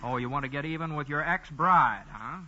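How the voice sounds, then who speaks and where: An actor playing a cynical, protesting magazine writer with a halting, sardonic delivery in a 1940s radio play. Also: male voice, fairly high pitch, bright, forceful tone. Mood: suspicious, sardonic. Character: indignant, cynical magazine writer, a grumbling young man. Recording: dull, hissy old radio recording.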